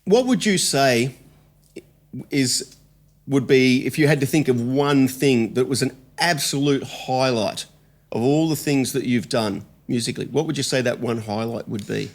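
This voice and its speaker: A man's voice: mid-range voice, a little slow. A man hosting a casual music interview, often rephrasing his questions as he goes. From Australia. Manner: chatty, rephrases questions mid-sentence. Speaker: podcast host.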